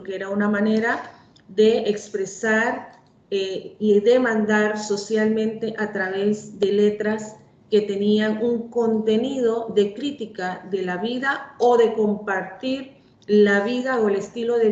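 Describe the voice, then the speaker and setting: A woman from Panama with a Panamanian Spanish accent, giving a measured, explanatory talk on a panel. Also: fairly high pitch, darkish tone, slight background noise. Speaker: panel speaker. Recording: echoey, dull lo-fi sound.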